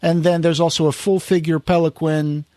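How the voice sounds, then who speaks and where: Man chatting, higher-pitched voice, dry sound. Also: level tone, moderate pace, warm tone, dry recording. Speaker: podcast host. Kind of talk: casual podcast chat about horror collectibles.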